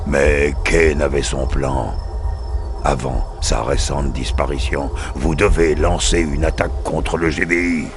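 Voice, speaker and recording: A man voicing a cold, calculating military artificial intelligence in a video game, measured, formal and emotionless. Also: very deep voice, steady tone, music in the background. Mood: cold, scheming. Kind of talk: video game cutscene dialogue.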